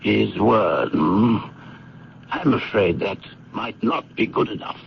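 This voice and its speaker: An actor playing a brisk, worried British police inspector in a radio drama, questioning in a clipped, probing way. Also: male voice, lowish voice, vocal fry, full character voice. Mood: pressing, worried. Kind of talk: old-time radio drama acting. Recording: muffled, noisy radio drama recording.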